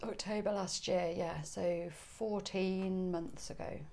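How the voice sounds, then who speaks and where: Slow, gravelly English woman priest. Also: lowish voice. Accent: northern English. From Coventry, England. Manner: relaxed, chatty, laughs easily, many ums. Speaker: parish priest. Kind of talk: podcast interview.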